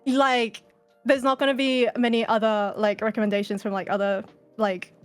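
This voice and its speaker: A woman's voice: on the high side, flat delivery, moderate pace, smooth, faint music behind. A woman with an Australian accent giving a casual, informal fan talk as a panel presenter, swearing now and then. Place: indoors, virtual convention presentation.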